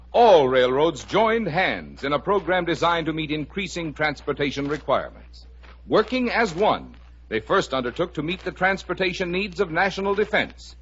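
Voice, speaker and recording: A man's voice: on the high side, fairly bright tone, husky. A man reading scripted sponsor narration as a 1950s radio announcer, with formal, emphatic phrasing. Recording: muffled 1950 radio broadcast.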